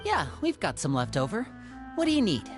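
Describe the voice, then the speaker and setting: A woman's voice: medium pitch, huge pitch swings, fairly quick. A woman playing a confident, shrewd, protective young man, an older brother, in a video game. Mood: friendly. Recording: music behind the voice.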